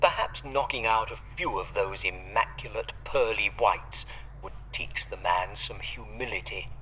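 A man's voice: fairly low pitch, measured pace, pushed and bright, lo-fi sound. A man playing a loyal, wry butler in an audio drama, formal and sardonic, heard through a radio filter. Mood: dry, wry. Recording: band-limited radio link with noisy hiss.

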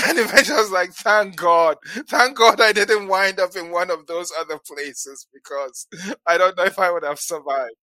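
Man from Ghana, forties, interview guest, bright tone, dry close sound, restarts phrases. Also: high voice, normal speed, no echo. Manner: repeats words often when starting, laughs lightly. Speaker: guest, venture capital investor. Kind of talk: casual interview talk.

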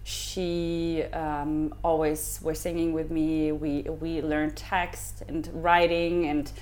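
A woman in her thirties, from Ukraine and raised in Germany, who speaks English as a second language. She is a podcast guest chatting casually and often pauses to search for words. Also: low voice, little pitch movement, a little mellow. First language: not English. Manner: hesitant, searching for English words, with many fillers. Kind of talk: casual interview chat.

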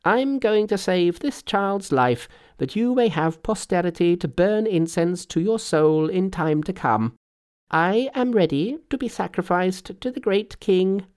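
A man's voice: high-pitched, animated, unhurried, darkish tone, clean tone, voice pushed up. A man voicing a cheeky, fearless male monkey hero from a storybook, brash, bantering and self-assured. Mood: bold. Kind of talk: storybook character voice.

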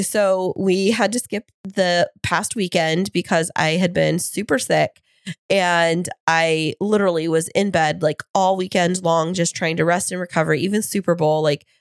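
A woman co-hosting a podcast in casual chat, her voice hoarse and froggy from being sick, telling stories in a teasing, animated way. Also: on the low side, dry recording, clean studio sound. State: hoarse from illness. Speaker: podcast co-host. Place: home studio.

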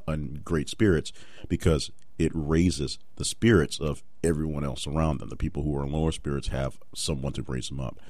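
A man's voice: a bass voice, a little mellow, no room sound. A man hosting a solo motivational podcast, talking off the cuff with fillers and restarts. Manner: unscripted, rambling with fillers and restarts. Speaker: podcast host.

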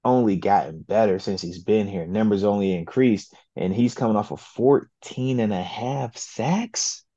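A man with a low, mellow voice talks football in an easy, slangy, unhurried way, weighing his options out loud. The sound is dry and a little dull, as if from a modest podcast setup. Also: no echo. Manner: laid-back slangy talk, measured and deliberate. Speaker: co-host, football analyst. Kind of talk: casual sports chat.

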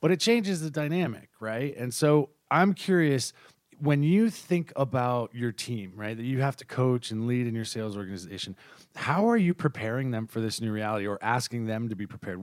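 A male podcast host with a mid-pitched voice, talking casually in an interview. He is chatty and tends to double back on his sentences. The sound is dry, with very little room in it. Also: expressive, normal speed, darkish tone, no room sound. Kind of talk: conversational interview hosting.

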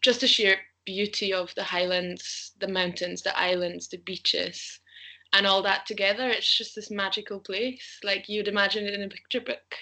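Bright-voiced young Austrian woman chatting. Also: mid-range voice, level tone, moderate pace. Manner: chatty, laughs often, thinks aloud with ums. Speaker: podcast guest, travel blogger. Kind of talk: casual interview chat.

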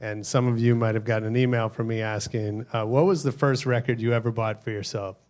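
A man's voice: medium pitch, fairly even intonation, fairly quick, a little mellow. A man hosting a live podcast at a hotel event, casual and joking, with lots of ums and uhs. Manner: casual, filler-heavy, joking. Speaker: podcast host.

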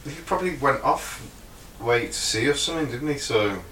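A man trading teasing, deadpan jokes as a podcast co-host. His voice is bright and mid-pitched. He sits back from the mic, and the room adds a clear echo. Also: moderate pace, far from the mic, slight background noise. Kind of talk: casual chat. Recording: echoey room, a bit off mic.

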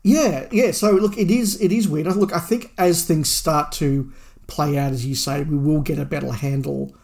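A man's voice: a little high, moderate pace, vocal fry, darkish tone. A man co-hosting a podcast in a casual fan discussion, rambling and thinking aloud. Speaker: podcast co-host.

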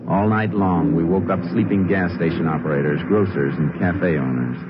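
A man acts out a calm, methodical Texas Ranger with a low voice. He talks tersely and plainly, as in a 1950s radio western. The old recording is dull and heavily hissy. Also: normal speed, lightly acted. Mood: resolute. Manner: terse, matter-of-fact. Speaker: lead actor, also narrating the case. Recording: noisy, muffled vintage radio recording.

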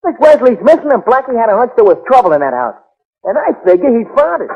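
A man's voice: very high-pitched, sing-song, quick pace, husky, full character voice. A man playing a detective's anxious, dim, loyal sidekick in a 1940s radio drama, with slangy, ungrammatical, fretful talk. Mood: pleading, worried. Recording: tinny, band-limited 1940s radio recording.

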